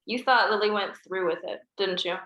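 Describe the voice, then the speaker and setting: A woman's voice: mid-range voice, brisk, bright, forceful tone, lightly acted. A woman reading the part of a young woman who feels betrayed, in an emotional, accusing way at a table read. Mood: hurt, accusing. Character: young woman, hurt and confronting her family. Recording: roomy echo.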